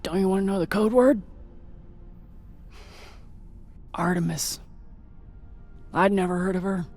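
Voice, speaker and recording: A hardened, grieving space pilot speaks in a notably high voice. The delivery is terse and wry, salted with profanity, as in a dramatic sci-fi game, with music underneath. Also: male voice, steady tone, normal speed, a little mellow. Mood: guarded, resolute. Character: hardened, haunted, foul-mouthed space pilot grieving a husband. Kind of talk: dramatic video game dialogue.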